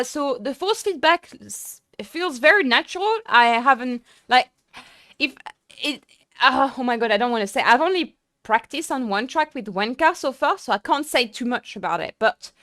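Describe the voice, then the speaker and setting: Chatty, excitable young woman streamer. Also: high voice, moderate pace, no room sound, studio quality. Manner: chatty, excitable, lots of fillers and restarts. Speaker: sim racing streamer. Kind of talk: casual live-stream chat.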